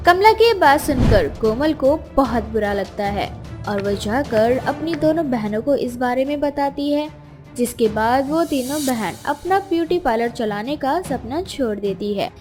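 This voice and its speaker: A woman tells a moral story as a scripted narrator. Her pitch is high, and music plays beneath the voice. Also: expressive, measured pace, darkish tone.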